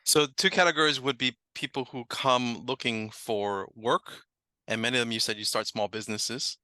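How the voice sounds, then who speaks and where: A man's voice: mid-range voice, normal speed, sharp tone, no room sound. An American man hosting a conversational interview. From United States. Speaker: interview host.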